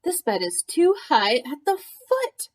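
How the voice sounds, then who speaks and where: A woman's voice: high voice, huge pitch swings, slow, darkish tone, voice pushed up, heavily acted. A picky, bold little girl from a storybook, acted out by a woman reading aloud. Each remark is delivered as a fussy exclamation. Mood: complaining. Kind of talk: children's storybook read-aloud.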